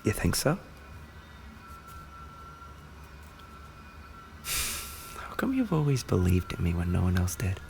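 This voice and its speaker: Man acting a low, gravelly, tender boyfriend. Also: wildly swooping pitch, normal speed, faint music behind, heavily acted. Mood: vulnerable, loving. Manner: soft, tender, often dropping to a whisper. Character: affectionate injured male race car driver boyfriend, tender, grateful. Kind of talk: intimate boyfriend roleplay audio.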